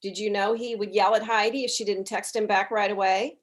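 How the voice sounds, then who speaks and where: A woman's voice: medium pitch, fairly even intonation, fairly quick, lightly acted. A woman playing a polite but blunt mother in her forties, in a comedy script read. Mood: indignant. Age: middle-aged.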